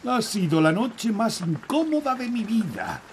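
A man's voice: high-pitched, wildly swooping pitch, measured pace, a little hiss or hum, heavily acted. Fretful cartoon king, swooping Castilian delivery. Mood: weary, dismayed. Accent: Castilian Spanish. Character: reluctant, fretful middle-aged fairy king, politely grumbling. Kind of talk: animated cartoon dub.

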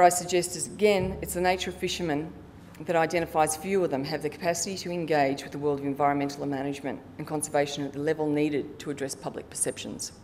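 A middle-aged woman with a fairly low voice presents formally from a lectern. She reads prepared material in a measured way, with the odd stumble. The hall adds a long reverberant tail, and a faint rumble sits behind her. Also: a little mellow. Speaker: conference presenter, researcher. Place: conference hall stage, indoors. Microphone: lectern microphone.

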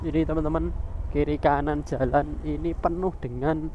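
A man's voice: on the high side, fairly even intonation, moderate pace, dark tone, muffled recording. A man from Indonesia, chatting casually and jokingly as he narrates a ride as a motovlogger. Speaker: motovlogger, channel host. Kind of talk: casual motovlog commentary while riding. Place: outdoors, riding a motorbike on village roads. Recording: outdoor vehicle and engine noise.

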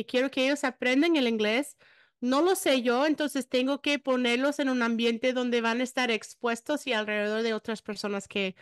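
High, smooth-voiced woman host. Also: dry recording, studio quality. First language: English. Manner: warm-paced, encouraging, affirming. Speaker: podcast host. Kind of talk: casual interview conversation. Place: room with plain gray wall. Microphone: video call.

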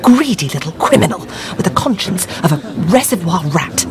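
Wronged baroness: bitter, distressed, swooping delivery over prominent music, radio drama. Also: female voice, on the low side, very animated, moderate pace. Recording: loud music behind.

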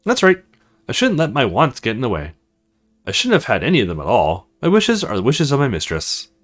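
A man's voice: medium pitch, huge pitch swings, fairly quick, a bit bright, faint music behind. Man voicing meek, devoted young servant woman. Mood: serene, submissive. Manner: meek, earnest, obedient.

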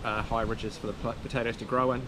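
A man gives a relaxed, plain-spoken farm walkthrough, full of ums and uhs, outdoors and in a tractor cab on an action camera. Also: mid-range voice, fast. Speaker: farmer. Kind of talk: casual farm vlog walkthrough. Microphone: action camera on the body or close at hand. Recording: loud wind and engine noise.

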